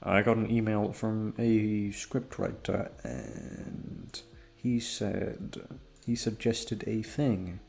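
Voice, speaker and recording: A young man with a low, creaky voice talks quietly and slowly, as if keeping it down at home. His tone is dark and soft, and he rambles casually and hesitantly to his listeners, swearing as he goes. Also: animated. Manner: hushed, low-energy, keeping quiet for others in the house; laid-back, hesitant, swearing freely. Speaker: audio roleplay creator and host.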